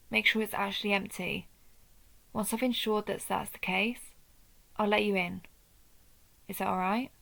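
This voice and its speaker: A wounded, proud vampire huntress acted by a woman with a creaky, measured voice, the sort heard in a late-night audio drama guarding a friend outside a ruined temple. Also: mid-range voice, fairly bright tone, poor audio. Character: injured female vampire hunter of vampires, protective, proud, wry. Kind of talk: scripted audio roleplay addressed to the listener.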